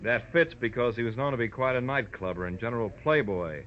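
A man's voice: medium pitch, expressive, fairly quick, lightly acted. A man playing a world-weary American police detective in an old radio crime drama, dry, matter-of-fact and needling. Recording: dull, faintly noisy old radio recording.

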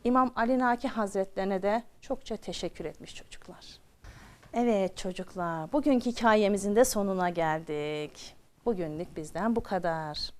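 A woman's voice: medium pitch, normal speed, a little mellow. A woman telling a children's story expressively, repeating phrases for drama and addressing her young listeners directly.

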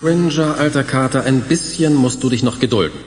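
An actor playing a brash, theatrical hero in a 1980s German fantasy audio drama, with dramatic delivery. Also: male voice, medium pitch, a little fast, slight background noise, natural voice. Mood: teasing.

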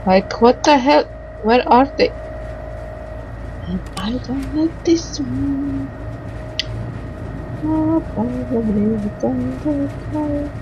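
A woman's voice: sits high, expressive, slow, long pauses, muffled recording. A woman giving casual, reactive let's play commentary, muttering to herself and exclaiming as she plays. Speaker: gaming commentator. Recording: clear background noise and game ambience. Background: video game ambience.

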